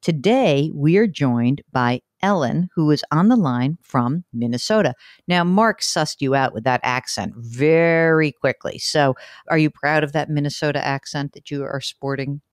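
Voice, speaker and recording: A woman with a New York accent hosting a call-in advice show, brisk and chatty, asking quick follow-up questions. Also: sits low, dry recording, clean studio sound. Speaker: host.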